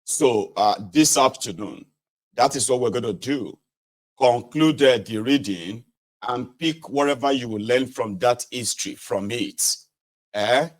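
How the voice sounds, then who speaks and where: A man's voice: medium pitch, a little slow. A man hosting a casual livestream podcast, talking to his listeners and checking in with short tag questions. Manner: conversational, checks in with listeners using "eh?" and "right?". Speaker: podcast host reading a book aloud.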